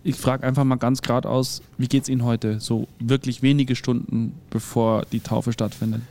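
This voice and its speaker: A man's voice: medium pitch, flat delivery, normal speed, darkish tone. Male radio host, upbeat, runs the interview, mid-range voice. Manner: upbeat, leading the conversation.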